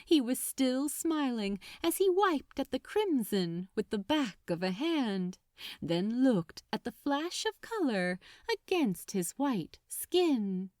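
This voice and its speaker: Female story narrator, slow and dramatic, lingering on phrases, lively melodic delivery. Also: a little high, no room sound, clean studio sound. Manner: expressive, unhurried, lingering on phrases. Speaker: audiobook-style narrator of fan fiction.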